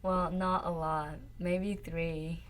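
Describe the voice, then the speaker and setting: An eighteen-year-old woman, a native Chinese speaker, answers shyly and modestly. She speaks slowly in a slightly low voice, with clear noise around her. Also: level tone. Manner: shy, modest.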